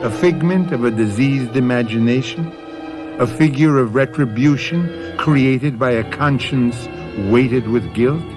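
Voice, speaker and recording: Slow, ominous male narrator over music. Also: medium pitch. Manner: ominous, theatrical, deliberate. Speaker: host and narrator. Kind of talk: scripted radio drama narration.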